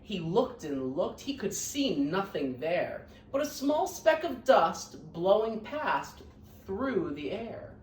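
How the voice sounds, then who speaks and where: A woman reading a children's story aloud like a teacher, in an expressive, emphatic storytelling manner. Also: mid-range voice, slow pace. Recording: roomy echo, distant microphone.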